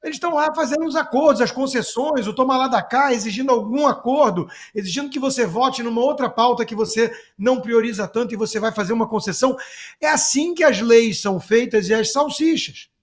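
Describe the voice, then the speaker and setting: A man's voice: extremely high pitch, brisk. A man with a Brazilian accent giving off-the-cuff political commentary, sarcastic, emphatic and colloquial. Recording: music playing behind the voice. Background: music.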